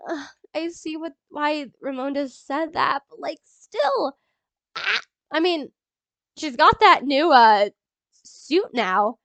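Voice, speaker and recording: A young woman with a stuffy nose from a cold, rambling excitedly in a casual movie reaction vlog, full of ums and little laughs. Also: high-pitched, no echo. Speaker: YouTuber.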